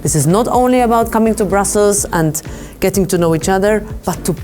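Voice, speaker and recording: A woman politician speaking directly and encouragingly to young visitors, pausing now and then to find her words. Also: mid-range voice, warm tone, faint music behind. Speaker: European politician meeting children. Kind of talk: informal meeting talk.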